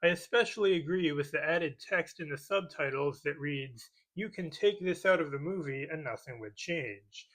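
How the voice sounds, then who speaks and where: Higher-voiced man giving sarcastic video commentary. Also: normal speed, hardly any pauses, fairly bright tone, poor audio. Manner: sarcastic, mock-serious. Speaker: YouTube commentator parodying movie critique videos. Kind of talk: scripted comedic rebuttal commentary.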